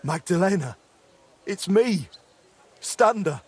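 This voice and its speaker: An actor playing a remorseful middle-aged former blacksmith in a dramatic game, speaking in an earthy, apologetic way. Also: male voice, sits high, lively intonation, slow pace, breathy edge, full character voice. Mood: apologetic, emotional.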